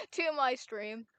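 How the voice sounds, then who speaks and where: A woman's voice: high voice, a bit bright, clean tone, no echo. A woman hosting a casual stream, giggling often and swearing playfully as she chats and reads a story summary aloud. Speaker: streamer host.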